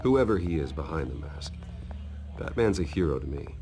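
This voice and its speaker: A man's voice: very deep voice, big pitch swings, moderate pace, warm tone. An actor playing a brooding, determined wealthy man in a video game, grave and restrained. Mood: earnest, determined. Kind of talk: naturalistic video game drama. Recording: music behind the voice.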